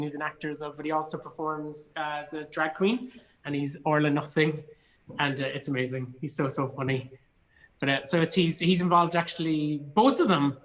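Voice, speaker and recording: A man's voice: on the high side, fairly bright tone, poor audio. An Irish man from Cork chatting casually and enthusiastically as an interview guest. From Cork, Ireland. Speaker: actor and theatre company artistic director being interviewed. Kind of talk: casual chat.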